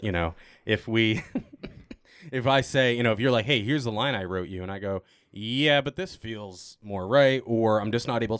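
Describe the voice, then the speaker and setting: Male cast member, lowish pitch, fast talker, dry close sound, casual panel chat. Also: dry recording.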